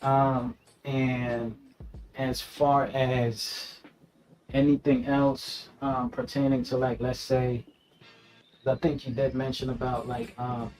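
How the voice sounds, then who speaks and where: A man's voice: mid-range voice, little pitch movement, takes it slowly, a little mellow, soft background music, poor audio. A man chatting casually in an interview, laid-back and slangy, laughing often and filling with "you know what I mean.". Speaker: rapper guest.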